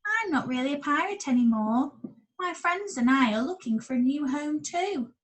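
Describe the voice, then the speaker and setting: A woman's voice: high-pitched, animated, normal speed, voice pushed up, low-quality recording. A woman voicing a kindly, jaunty former pirate man in a children's storybook reading. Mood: earnest, hopeful. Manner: jaunty, exclaiming.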